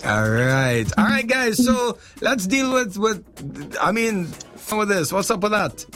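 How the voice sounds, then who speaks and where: A man with a Trinidadian accent hosting a radio show, lively and playful, quick to laugh. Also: high voice, faint music behind. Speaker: radio host. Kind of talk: casual radio chat and ad reads.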